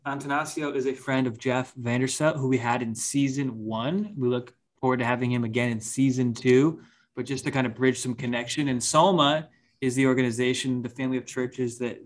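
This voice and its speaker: A man hosts a podcast interview, welcoming his guest and setting up each question. He sounds friendly and measured, with a voice of ordinary middle pitch. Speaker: podcast host. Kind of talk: interview hosting.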